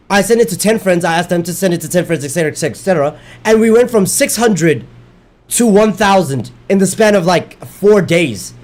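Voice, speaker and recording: Rambling streamer man, voice worn. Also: high voice. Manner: rambling, self-correcting, swears casually. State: losing his voice. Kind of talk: casual chat while gaming.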